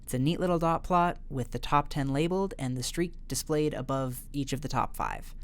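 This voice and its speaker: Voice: mid-range voice, fairly quick. Upbeat young student TV host. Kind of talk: scripted segment narration.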